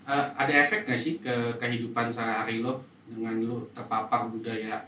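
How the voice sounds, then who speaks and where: Young jakarta guy hosting, sharp bright voice, casual, kinda far from the mic. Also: medium pitch, flat delivery, a little fast. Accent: Jakarta Indonesian. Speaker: podcast host asking questions. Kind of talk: casual chat. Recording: echoey room, distant mic, background noise.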